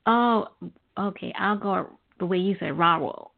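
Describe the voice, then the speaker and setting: A woman hosting a live internet talk radio show with a halting, reflective delivery. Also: medium pitch, vocal fry. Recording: phone-like lo-fi internet radio line.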